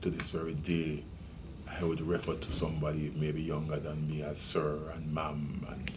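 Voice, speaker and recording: Retiring police officer, Jamaican man in his sixties, deep voice, unhurried storytelling, noisy room. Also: monotone. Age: 60s. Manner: measured, reminiscing, with many ums and restarts. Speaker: retiring police deputy commissioner. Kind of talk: reflective interview storytelling.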